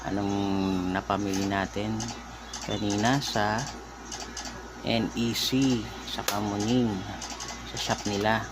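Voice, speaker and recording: A Filipino man giving a casual show-and-tell as a vlogger, speaking in short halting phrases and mixing in English. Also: lowish voice, little pitch movement. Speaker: vlogger, record collector. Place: at home. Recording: very noisy home recording.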